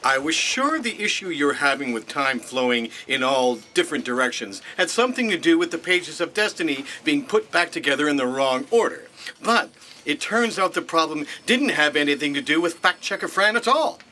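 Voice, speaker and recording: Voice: mid-range voice, big pitch swings, normal speed, bright, forceful tone, slight background noise. An actor playing an earnest, puzzled galactic adventurer who keeps asking questions in a comedy audio drama. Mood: inquisitive.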